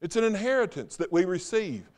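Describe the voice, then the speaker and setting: Pastor preaching with dramatic loud-soft swings. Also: male voice, high-pitched, very animated. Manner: swings from loud rhetorical questions to hushed, slow emphasis. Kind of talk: sermon. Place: church sanctuary.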